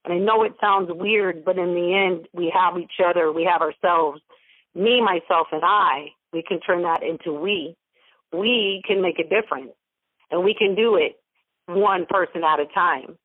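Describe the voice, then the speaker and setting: A woman's voice: fairly low pitch, normal speed, no echo. A woman guest who mentors others and runs businesses, talking emphatically and piling up repeated motivational phrases. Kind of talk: interview over a phone line. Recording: dry, tinny phone-line audio.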